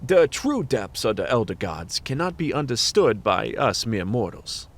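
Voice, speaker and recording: An actor playing a world-weary fantasy detective in a noir radio play, brooding and dramatic. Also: male voice, medium pitch, big pitch swings, a little slow. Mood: knowing, grave. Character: hard-boiled fantasy detective, world-weary, knowing, dealer with demons.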